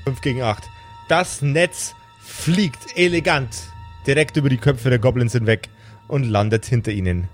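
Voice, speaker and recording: A man works as game master, narrating an improvised tabletop adventure in a dramatic, deliberate storytelling way with casual asides. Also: mid-range voice. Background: background music.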